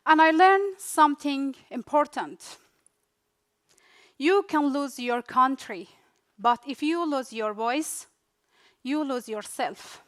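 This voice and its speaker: A young woman from Afghanistan with an Afghan accent, whose first language is Dari, giving a rehearsed personal storytelling speech in short, deliberate phrases. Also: sits high, a bit bright. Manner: slow, deliberate delivery in short dramatic phrases with pauses. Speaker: refugee speaker.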